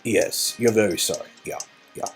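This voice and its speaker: A man's voice: fairly low pitch, normal speed, husky, faint music behind. A man playing the sarcastic, exasperated hero of a story game, rambling and repeating words. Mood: betrayed, sarcastic.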